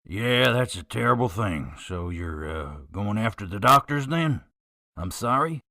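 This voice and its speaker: A man acts out a guilty mechanic in a radio play, evasive and easily indignant. His low voice is sharp and swings widely, recorded close and dry. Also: expressive, a little slow, creaky, no room sound, exaggerated voice. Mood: uneasy, evasive. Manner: hesitant, evasive, indignant when accused. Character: guilty airport mechanic, defensive killer cornered into confessing. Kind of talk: amateur radio drama acting.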